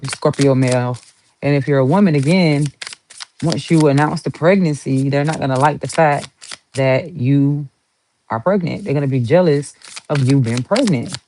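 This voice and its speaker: A woman's voice: low-pitched, a little mellow, slight background noise, low-quality recording. Southern lady doing a tarot reading, rambly and playful, just chatting away. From Southern United States. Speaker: tarot reader.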